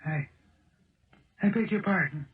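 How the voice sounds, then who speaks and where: A man's voice: high-pitched, a little slow. An American actor playing a genial, chatty male police lieutenant in a 1970s radio drama. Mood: puzzled, wary. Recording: tinny roomy old radio broadcast.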